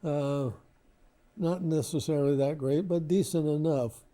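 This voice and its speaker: An older man, reminiscing casually as an interview guest in a halting, modest, rambling way. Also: a little high, mellow. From Lebanon, Ohio, United States.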